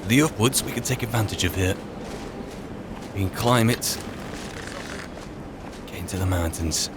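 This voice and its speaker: Man, low voice, in-character game roleplay, wary adventurer, musing in short phrases, clear background noise. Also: measured pace. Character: Merlin, a wary, disciplined adventuring wizard.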